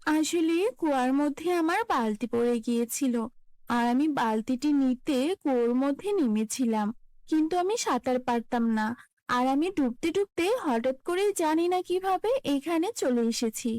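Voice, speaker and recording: A woman's voice: high voice, expressive, normal speed, darkish tone, dry recording. A woman voicing an innocent, helpful young girl, earnest and polite, in a children's cartoon.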